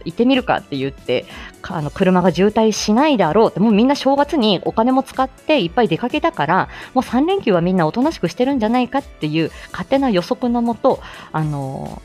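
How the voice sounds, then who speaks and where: Giggly, lively woman hosting a chat. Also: lowish voice. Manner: giggly, chatty, exclaiming. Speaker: live audio show host. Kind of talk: casual morning livestream chat.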